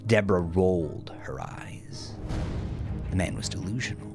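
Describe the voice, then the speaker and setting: A man narrating dark, violent fiction in an intense, theatrical manner that swings between hushed and forceful. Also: low voice, unhurried, over music.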